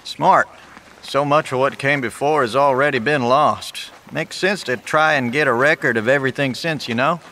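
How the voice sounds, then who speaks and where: An actor playing a wary, plain-spoken apocalypse survivor in an audio drama, with a folksy, laconic way of talking. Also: male voice, medium pitch, moderate pace, bright, forceful tone, slight background noise, close to an everyday voice. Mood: guarded, plain. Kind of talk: naturalistic audio drama dialogue.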